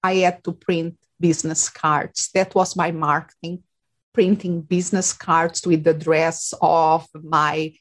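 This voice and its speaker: A woman's voice: deep voice, little pitch movement, unhurried. A woman from Portugal speaking English with a Portuguese accent, a life coach answering interview questions in a reflective way, with many fillers. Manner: reflective, with frequent fillers and restarts. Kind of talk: casual interview talk.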